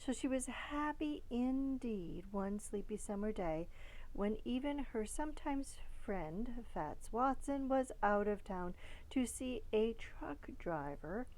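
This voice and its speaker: A woman reading a children's picture book aloud in a deliberate way, pausing between short phrases. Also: fairly high pitch, expressive, darkish tone, rough recording. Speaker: storybook reader.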